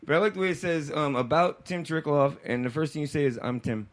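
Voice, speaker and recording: A man's voice: fairly high pitch, quick pace, no room sound. A man hosting a casual talk show, bantering loosely and swearing often. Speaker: radio show host. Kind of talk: casual chat.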